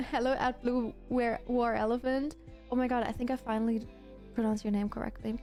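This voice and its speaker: A woman's voice: fairly high pitch, level tone, moderate pace, darkish tone, soft background music. A woman from Germany, a native German speaker, livestreaming in a casual chat with viewers, gushing and emphatic and often repeating herself. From Germany, grew up in Berlin.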